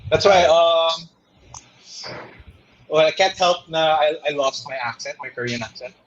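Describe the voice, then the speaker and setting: Casual interview guest: a young man, a bit high-pitched, easygoing and loose, recorded muffled. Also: a little slow. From Philippines. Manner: switches between English and Tagalog in loose, rambling sentences.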